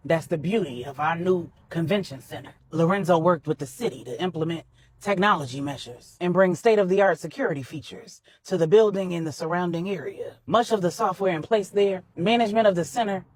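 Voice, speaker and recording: A woman storyteller voicing a commanding, protective male crime boss with a measured, persuasive manner. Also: on the low side, darkish tone, a little hiss or hum, natural voice. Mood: assured, persuasive.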